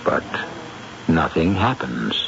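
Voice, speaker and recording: A man narrating a scripted radio mystery as its host, measured, dramatic and confiding. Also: extremely low pitch, very animated, creaky, slight background noise. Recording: muffled old radio broadcast.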